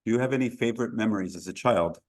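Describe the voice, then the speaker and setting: A man with a somewhat low voice conducts an interview. He asks short, plain questions and keeps them brief. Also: rapid-fire.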